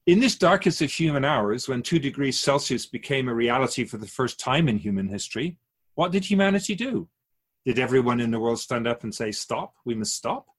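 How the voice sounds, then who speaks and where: Man voicing a husky, swooping otherworldly Keeper of souls. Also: mid-range voice, lively intonation. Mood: probing. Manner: measured, rhetorical questioning. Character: otherworldly Keeper of souls, patient, probing, calmly instructive. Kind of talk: radio drama dialogue.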